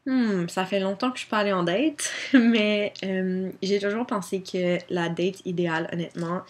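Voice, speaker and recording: A woman's voice: mid-range voice, steady tone, normal speed. A young woman with a Québécois French accent chatting casually to her webcam, answering questions with many fillers. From Quebec. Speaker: vlogger.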